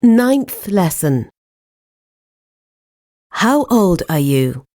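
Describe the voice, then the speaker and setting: A woman's voice: on the low side, very animated, very slow, a little mellow, dry recording, studio quality. A woman reading English course dialogues and lesson titles in a careful teaching manner. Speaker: language course narrator and dialogue reader. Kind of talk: slow, clearly read textbook dialogue.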